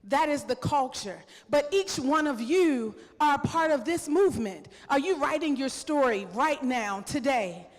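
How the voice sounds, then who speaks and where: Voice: high voice, lively intonation, unhurried, hardly any pauses. An event organizer giving a rousing convention speech, rallying the room with rhetorical questions. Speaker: event organizer introducing a guest. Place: large convention hall. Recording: echoey hall.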